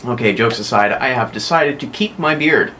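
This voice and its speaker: A man's voice: mid-range voice, animated, moderate pace, pushed and bright, slight background noise. A young American man hosting a casual weekly vlog, chatting and rambling with frequent self-corrections. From United States. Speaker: vlog host.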